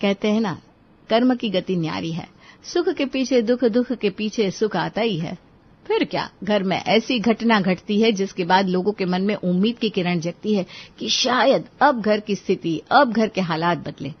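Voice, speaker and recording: A woman's voice: mid-range voice, normal speed, highs cut off. A woman narrating a scripted moral story.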